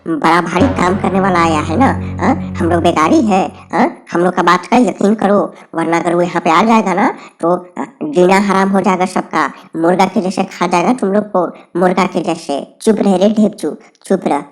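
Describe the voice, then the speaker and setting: A woman voicing a frightened, grumbling dwarf in a Hindi cartoon, with halting, stammering speech. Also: mid-range voice, soft background music, natural voice. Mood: indignant. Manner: rustic, pleading, repeats phrases.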